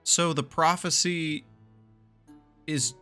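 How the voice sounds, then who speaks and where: A man doing casual reaction commentary on a story game, excitable and sweary as he thinks aloud. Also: on the high side, slow pace, clear, resonant tone, faint music behind.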